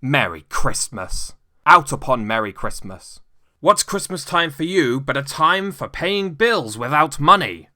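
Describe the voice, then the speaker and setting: A man's voice: mid-range voice, sharp tone, dry recording, studio quality, voice pushed up, heavily acted. A man voicing a stern, scornful, miserly old uncle in a storybook reading. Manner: indignant, scornful, emphatic.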